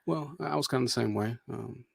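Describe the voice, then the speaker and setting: A man hosting a casual podcast interview, from Michigan, relaxed and chatty with long asides. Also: medium pitch, a little fast, creaky, breathy edge, dry recording, studio quality. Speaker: podcast host.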